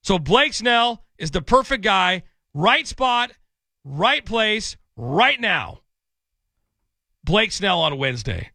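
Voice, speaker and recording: Hoarse sports radio guy, bright punchy tone, dry close mic, faint music under. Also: sits high, takes it slowly, no room sound. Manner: energetic, pep-talk delivery. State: hoarse, losing his voice. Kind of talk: sports talk radio call-in.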